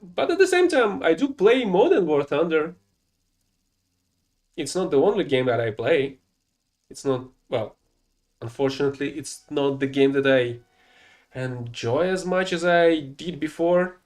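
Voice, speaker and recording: A man's voice: a little high, animated, measured pace, long pauses, a little mellow. Man giving casual game commentary, non-native English. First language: not English. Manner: rambling, self-correcting, mildly exasperated. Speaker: gameplay streamer.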